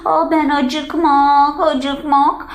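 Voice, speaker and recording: Woman voicing a slow, high-pitched whiny little girl. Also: level tone. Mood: whiny, tired. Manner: childlike broken speech with unconjugated verbs. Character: impatient, whiny little girl doll learning tennis. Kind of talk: children's toy pretend-play story. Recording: roomy indoor echo.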